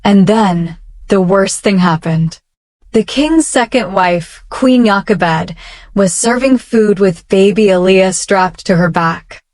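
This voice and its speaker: Slow-paced woman storyteller. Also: medium pitch, darkish tone, no echo. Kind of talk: scripted children's bedtime story narration.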